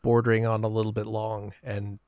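A man speaks in a fairly low voice with very little room sound around it. He talks casually, like a panelist in a relaxed podcast discussion. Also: a little fast, dry recording.

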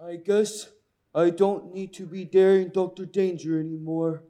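A man's voice: sits high, level tone, measured pace, dark tone. A man voicing a foolhardy, self-styled daredevil puppet with naive bravado in a children's puppet show. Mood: sheepish.

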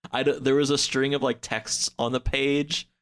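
A man's voice: medium pitch, level tone, normal speed, fairly bright tone, smooth. A man chatting casually as a podcast guest, recounting a story in a loose, rambling way peppered with "like". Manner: rambling, loosely pieced-together telling, peppered with "like".

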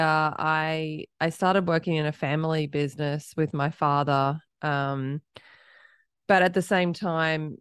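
A woman's voice: deep voice, clean tone, no echo, studio quality. A woman in her thirties with an Australian accent, giving conversational answers as an interview guest. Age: 30s. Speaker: podcast guest, entrepreneur.

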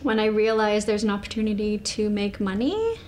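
A woman's voice: mid-range voice, animated, fairly quick, a little mellow, slight background noise. A woman in her forties, a former professional snowboarder from Canada, answering interview questions in an easygoing way with many fillers. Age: 40s.

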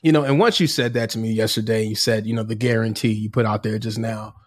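A man co-hosting a casual sports podcast in a laid-back, halting way, peppering his talk with conversational tags. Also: lowish voice, fairly even intonation. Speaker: sports and culture podcast co-host.

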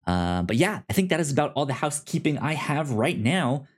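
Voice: mid-range voice, big pitch swings, brisk. A podcast host chatting informally and giving announcements in a conversational way. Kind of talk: casual podcast chat with housekeeping announcements.

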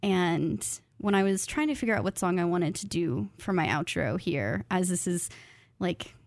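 A woman's voice: on the low side, expressive, moderate pace, vocal fry, no echo, clean studio sound. A woman in her thirties talking casually and reflectively as an interview guest, earnest, enthusiastic and informal. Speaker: podcast guest, creative nonfiction graduate student and writer.

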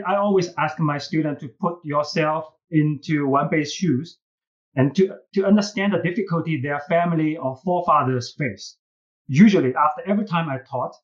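A man with a Chinese accent and a fairly high voice gives earnest interview answers as a professor. He speaks haltingly, repeating words as he builds his point. The recording sounds slightly dull and lo-fi. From China. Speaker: business school professor and case writer, podcast guest.

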